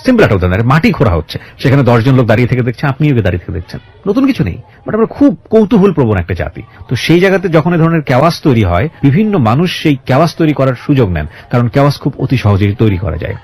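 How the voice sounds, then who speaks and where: A man's voice: medium pitch, very animated, fast, few pauses, a little mellow, slightly rough. A man with a Bangladeshi accent hosting a radio interview, speaking in an emphatic, moralizing way and mixing in English words. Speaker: radio show host. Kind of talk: radio interview with reflective monologue to listeners.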